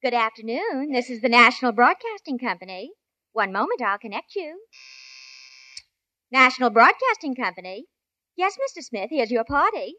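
Sassy female switchboard receptionist, vintage radio comedy; very lively intonation, bright tone. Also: high voice, moderate pace, no room sound, full character voice. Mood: brisk, polite. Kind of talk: 1950s radio comedy acting.

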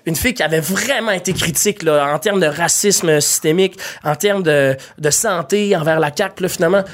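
A man's voice: on the high side, brisk. Young man, Quebec French accent, passionate, swears casually, lots of fillers, casual chat.

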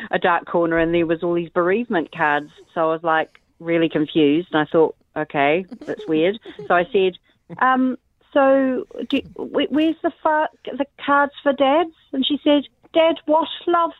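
A woman's voice: on the low side, moderate pace, dry recording. A woman calls into a radio show over a tinny phone line. She tells a chatty story, playing out each side of the conversation. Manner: chatty, acts out the dialogue. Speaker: caller. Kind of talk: telling an anecdote.

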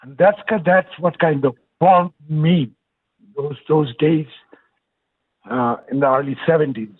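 A man's voice: fairly high pitch, measured pace, slightly rough, dry recording. A man in his sixties from Bangladesh with a Bangladeshi accent, a nonprofit director calling in to an interview, speaking earnestly with hesitant restarts. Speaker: nonprofit executive director, guest. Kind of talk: casual interview over the phone. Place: calling in remotely. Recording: tinny phone line.

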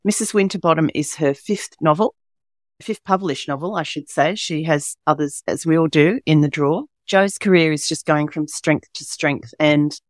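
Croaky Australian woman hosting a podcast. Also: sits low, dry recording, studio quality. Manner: chatty and upbeat, rambling asides. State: croaky, hoarse voice. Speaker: podcast host. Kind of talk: casual podcast chat.

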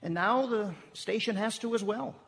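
Awkward, highish-voiced boss. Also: male voice, moderate pace, creaky, a bit bright. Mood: politely firm. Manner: hesitant, stammering corporate politeness. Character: awkward, apologetic male radio station boss. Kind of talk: comedic live radio play acting. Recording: echoey theatre hall.

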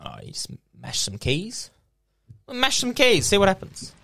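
Teasing man, laughing, lively voice. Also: high voice, normal speed, gravelly. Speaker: podcast co-host. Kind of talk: comedic improvised chat.

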